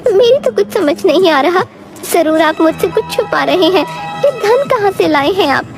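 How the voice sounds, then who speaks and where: Woman playing a high-pitched, worried nagging wife. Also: animated, normal speed, music in the background. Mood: suspicious, puzzled. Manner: complaining, anxious, high-voiced. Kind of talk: acted children's moral story.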